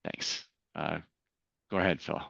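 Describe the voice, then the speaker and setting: A man's voice: rumbling low, fairly even intonation, a little slow, gravelly, a bit bright, no room sound, muffled recording. A man hosting an online meetup, introducing speakers and making announcements in a casual manner with many fillers. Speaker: meetup host. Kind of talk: event announcements. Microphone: video call.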